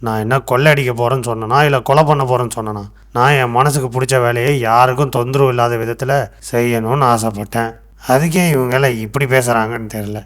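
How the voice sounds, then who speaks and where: A man voicing a frustrated, stubborn character in an animated moral story, speaking in an aggrieved, emphatic way. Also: medium pitch, fast talker, lightly acted. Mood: resolute. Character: frustrated, stubborn man defending the work he wants.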